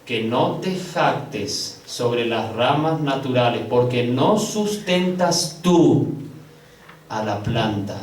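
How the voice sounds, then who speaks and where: Man, pastor, emphatic sermon, hushed then soaring, slow stressed words, distant mic, faint hum behind. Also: animated. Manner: emphatic preaching, swings from loud high peaks to hushed low stretches; addresses congregation as brothers, slow drawn-out stresses. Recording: echoey room, picked up from a distance.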